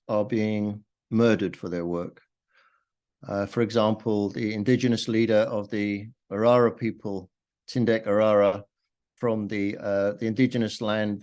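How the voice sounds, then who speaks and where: Man with a lowish voice, speaking formally. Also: a little mellow. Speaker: event speaker. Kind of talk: formal prepared speech.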